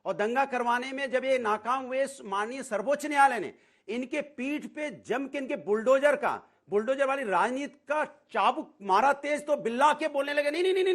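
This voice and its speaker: A man's voice: very high voice, fairly bright tone. A man arguing insistently as a party spokesman in a political TV debate, often repeating his phrases.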